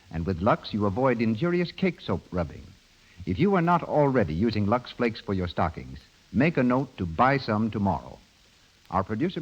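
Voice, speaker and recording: A man reading a 1930s radio advertisement as an announcer, with a husky voice. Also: fairly low pitch. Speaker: commercial announcer. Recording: dull 1930s radio broadcast recording.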